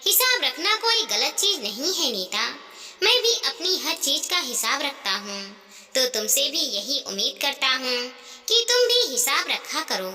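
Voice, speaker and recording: Woman reading a patient, burdened young husband, high-pitched. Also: very animated, unhurried, sharp tone, heavily acted. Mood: earnest, burdened. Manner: earnest, explaining. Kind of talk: dramatised moral storytelling. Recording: long hall-like reverb.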